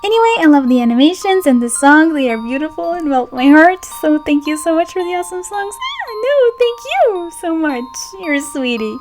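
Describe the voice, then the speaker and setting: A woman's voice: very high-pitched, very animated, normal speed, music in the background. A young woman, a voice actress chatting playfully through a fan Q&A. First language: Brazilian Portuguese. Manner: bubbly, playful, giggly. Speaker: voice actress and channel host. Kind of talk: casual Q&A chat.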